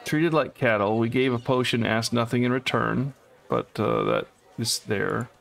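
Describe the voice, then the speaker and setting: Gravelly-voiced man chatting through a game. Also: medium pitch, expressive, a little slow. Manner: chatty, reads item stats aloud as he plays. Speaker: let's play co-host. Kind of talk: casual gaming chat. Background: faint game music.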